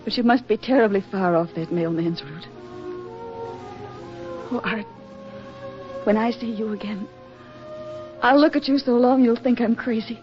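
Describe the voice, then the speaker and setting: A woman's voice: on the high side, measured pace, pauses often, lightly acted. An actress playing an anguished, lovelorn young woman in a 1940s radio drama, with an earnest, emotional and melodramatic delivery. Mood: tender longing. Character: lovelorn, anguished young woman awaiting her soldier sweetheart. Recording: muffled vintage radio recording with music.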